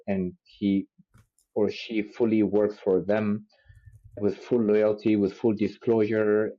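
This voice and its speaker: A man who speaks Amharic as his first language, answering interview questions in a measured, earnest way. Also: fairly low pitch, dark tone. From Ethiopian-Persian family, raised in the Middle East. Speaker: real estate agent, podcast guest. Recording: dull lo-fi audio.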